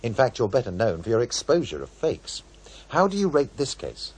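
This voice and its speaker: A man's voice: medium pitch, sing-song, normal speed, husky. An actor playing a serious, probing male presenter of an investigative programme in a radio drama. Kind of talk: scripted investigative broadcast within radio drama.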